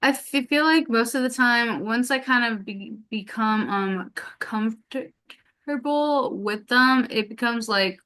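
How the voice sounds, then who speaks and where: A woman who stutters speaks slowly as a guest in a casual interview, repeating first sounds and filling pauses with ums. Her voice is bright and smooth. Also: on the high side. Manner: stutters with repetitions and blocks; many filler ums. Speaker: podcast guest.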